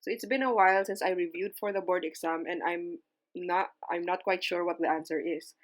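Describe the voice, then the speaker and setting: A woman's voice: fairly low pitch, fairly even intonation, fairly quick. A young adult woman from the Philippines with an Ilonggo accent, explaining board exam strategies in a casual vlog and mixing English with Tagalog. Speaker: librarian giving exam tips.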